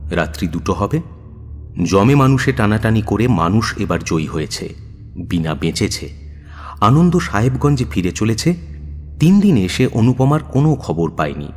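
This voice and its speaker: A man's voice: fairly low pitch, darkish tone. A man narrating a scripted audio story in a dramatic style. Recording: long studio reverb, music bed. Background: faint music.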